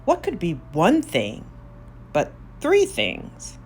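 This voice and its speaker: A woman narrating a children's story aloud, expressive, with drawn-out emphasis on key words. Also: lowish voice, a little hiss or hum. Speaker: storybook reader.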